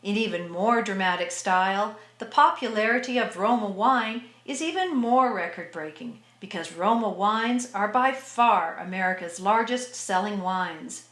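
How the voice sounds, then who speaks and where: A woman's voice: mid-range voice, a bit bright. Woman radio announcer in echoey room. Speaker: sponsor announcer. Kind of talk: 1940s radio advertisement reading.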